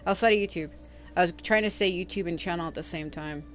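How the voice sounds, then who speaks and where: A woman's voice: on the low side, fast. A woman livestreaming a game, chatting casually in a rambling, explanatory way. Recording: noisy home livestream audio.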